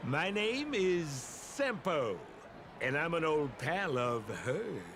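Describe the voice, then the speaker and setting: An actor playing a sly, smooth-talking young swindler in a video game, flamboyant and ingratiating like an oily salesman. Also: on the high side, big pitch swings, slow pace, background noise, exaggerated voice. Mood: playful, ingratiating. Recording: music behind the voice.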